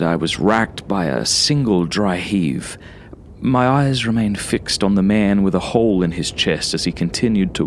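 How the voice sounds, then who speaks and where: A man with a fairly low voice narrates a first-person horror tale. His reading is dramatic and suspenseful, sometimes dropping into hushed, breathy asides. There is noticeable noise behind the voice. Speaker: story narrator.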